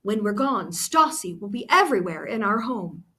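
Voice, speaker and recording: A woman reads as a proud storybook mother laying down what will be done. She is confident and firm, with a reassuring steadiness and a mid-to-raised pitch. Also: sing-song, normal speed, sharp tone.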